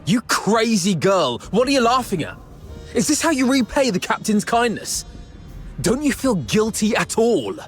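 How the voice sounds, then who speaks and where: An indignant loyal soldier, played by an actor, hurls accusations with a swinging, lively pitch. The voice is loud and outraged, with faint game music behind. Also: normal speed, close to an everyday voice.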